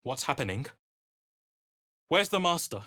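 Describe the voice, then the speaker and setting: A man's voice: fairly high pitch, big pitch swings, unhurried, sharp tone, full character voice. An actor playing a grim, weary male vampire spawn in a dramatic video game, with a terse, brooding delivery. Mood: anxious, dazed.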